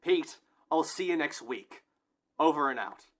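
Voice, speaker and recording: A man's voice: a little high, expressive, unhurried, heavy background noise. A man playing a stubborn, impatient airport security guard in an audio play, curt and demanding. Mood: impatient, annoyed. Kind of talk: amateur audio play acting.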